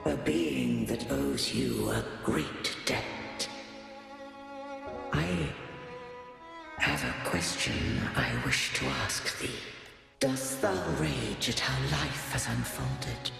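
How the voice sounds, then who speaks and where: A man voicing an ancient, godlike being in a fantasy game, solemn and weary, with archaic, stately and measured speech. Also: mid-range voice, huge pitch swings, vocal fry, exaggerated voice. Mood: gentle, solemn. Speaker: game character voice. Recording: cavernous echoing reverb with music behind.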